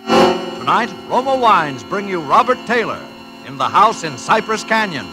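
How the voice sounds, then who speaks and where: A man announcing a suspense programme in a dramatic 1940s radio style over loud music. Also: high voice, big pitch swings, moderate pace, poor audio. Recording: old radio recording under loud music.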